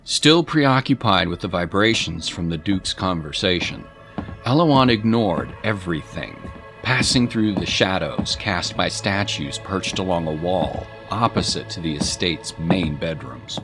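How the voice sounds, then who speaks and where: Guy narrating a fantasy tale, kinda low voice, descriptive storybook style, music playing behind. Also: expressive, unhurried. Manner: descriptive, literary.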